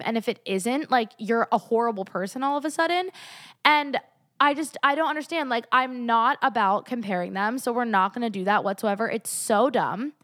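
A woman's voice: a little high, a bit bright. A young woman hosting a casual solo podcast, stuffy from a cold, talking in a chatty, gushing way. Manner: chatty and gushing, heavy use of "like". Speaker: podcast host.

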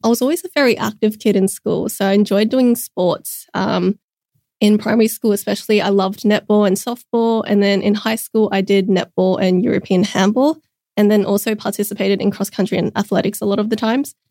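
A woman's voice: medium pitch, fairly even intonation, a little fast, mellow, dry recording, clean studio sound. A young woman chatting upbeat as a podcast guest, with many casual fillers. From Australia. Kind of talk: casual interview chat.

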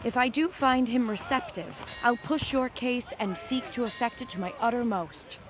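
An actress playing a gentle, anxious noble wife in a Shakespearean audio drama, earnest and gracious. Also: female voice, on the high side, normal speed, soft background music, lightly acted. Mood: resolute, gracious.

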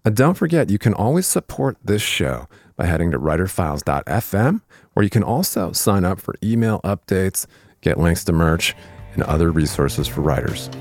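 Low-pitched male podcast host, lively intonation. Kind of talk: scripted podcast intro and ad reads, interview chat.

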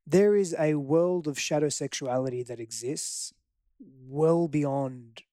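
A man's voice: on the high side, slow pace, dark tone, dry recording, studio quality. Greek-born man, reflective and earnest. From Greece. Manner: reflective, earnest, frequent restarts. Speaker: guest. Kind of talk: candid personal storytelling.

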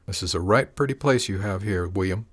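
A man's voice: deep voice, fairly quick. A man doing Dandy Andy, smarmy menacing gang boss. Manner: smarmy, mock-wounded, drawling. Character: oily menacing male gang boss, falsely friendly.

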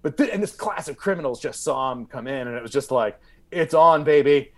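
Guy comedian telling a story, kinda high voice, swoops all over, trips over his words and says like a lot. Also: animated. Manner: halting, repeats words and leans on "like". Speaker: podcast guest, stand-up comedian. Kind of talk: animated comic storytelling.

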